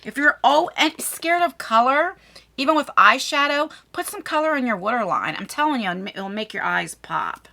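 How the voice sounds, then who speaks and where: Casting: woman, middle-aged, effusive beauty vlogger, bright forceful voice with a gravelly fry, casual unboxing chat. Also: high-pitched, lively intonation, normal speed. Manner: effusive, talks to viewers and to the items. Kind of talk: casual chatty product unboxing.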